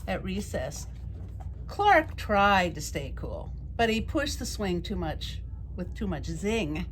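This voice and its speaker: A woman reading a children's picture book aloud as a storyteller, with an expressive, deliberate delivery. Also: lowish voice, slight background noise.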